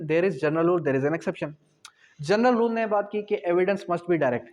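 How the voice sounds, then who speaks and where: A man with a Pakistani accent teaching in an explanatory lecture style, repeating key points and posing questions that he answers himself. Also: fairly high pitch. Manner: didactic, repetitive, asks and answers own questions. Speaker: law lecturer. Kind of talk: explanatory exam-prep lecture, mixing Hindi-Urdu and English.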